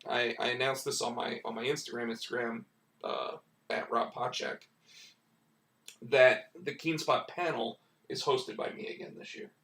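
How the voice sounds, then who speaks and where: Hoarse man chatting in a roomy space. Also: mid-range voice, fairly even intonation, moderate pace, a bit bright, far from the mic. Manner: rambling, many restarts and "like" fillers. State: losing his voice, hoarse. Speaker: comic book writer and stream host. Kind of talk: casual live-stream chat.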